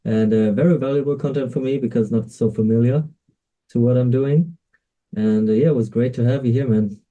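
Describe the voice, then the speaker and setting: Mellow co-host with many fillers. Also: male voice, mid-range voice, fairly quick, highs cut off. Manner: hesitant, lots of uh fillers. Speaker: podcast co-host. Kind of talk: casual interview chat.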